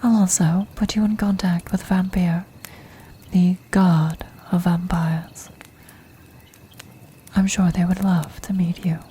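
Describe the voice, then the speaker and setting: A woman voicing an ancient, commanding vampire countess in a soft, breathy, formal whisper for an ASMR fantasy roleplay. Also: lowish voice, little pitch movement, exaggerated voice. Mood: intrigued, inviting. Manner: slow, formal, measured, regal.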